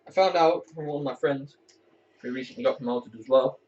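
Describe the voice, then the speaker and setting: A teenage cadet corporal chatting candidly to camera at home, rambling and swearing now and then. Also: male voice, medium pitch, brisk, background noise. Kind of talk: casual talk to camera. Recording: distant, muffled mic.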